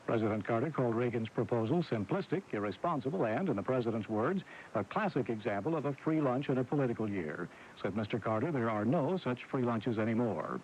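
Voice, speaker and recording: A man's voice: mid-range voice, lively intonation, brisk, a little mellow, highs cut off, slight background noise. A man anchoring scripted radio news on an old AM broadcast recording. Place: radio studio.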